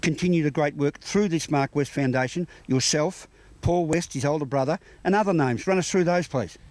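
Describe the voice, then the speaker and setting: A man's voice: fairly high pitch, normal speed, darkish tone. An Australian man presenting a local TV news interview, with formal, courteous phrasing.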